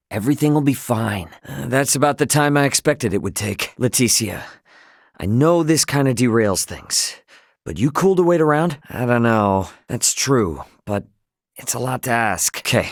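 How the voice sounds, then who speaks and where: Brash, animated young American captain. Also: male voice, medium pitch, no room sound, studio quality. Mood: insistent, reassuring, then easygoing, friendly. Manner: colloquial, emphatic, cocky. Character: brash young male spaceship captain, loyal and impulsive. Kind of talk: video game voice acting, casual dialogue.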